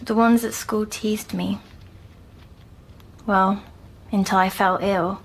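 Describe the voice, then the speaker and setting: A woman playing a timid, dreamy young girl patient who recounts her nightmares in a hushed audio drama. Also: medium pitch, steady tone, slow, husky. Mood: earnest, subdued.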